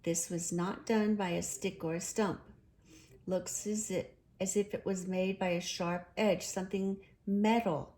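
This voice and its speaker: A woman voicing a practical, kindly male water rat in a children's story, brisk and reassuring. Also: mid-range voice, fairly even intonation, a little mellow, close to an everyday voice. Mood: kindly, concerned.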